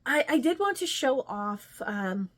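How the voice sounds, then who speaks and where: A woman's voice: high voice, very animated, a little slow. A woman chatting casually as a craft vlogger, rambling and thinking aloud as she shows her projects. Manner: rambling, thinking aloud, trailing tag questions. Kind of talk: casual chat, showing stitching projects.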